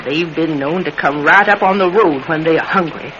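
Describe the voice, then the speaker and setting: A man's voice: on the high side, normal speed, close to an everyday voice. An actor playing an elderly retired circus performer in a radio drama, a talkative, wary old man with a folksy, wistful way of rambling into stories. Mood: firm, uneasy. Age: older. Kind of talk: classic radio drama acting. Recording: dull, noisy vintage radio recording.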